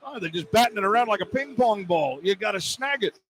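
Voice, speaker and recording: A man doing live basketball play-by-play on the radio as the announcer, animated and quick to exclaim at the action. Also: high-pitched. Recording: poor radio feed, clear background noise.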